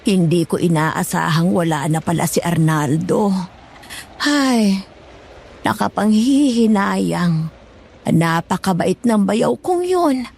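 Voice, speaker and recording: A woman's voice: medium pitch, animated, takes it slowly, vocal fry, a little mellow, breathy edge, exaggerated voice. A woman playing a remorseful, fearful middle-aged aunt in a dramatized horror story, apologetic and pleading. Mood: regretful. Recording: music bed underneath.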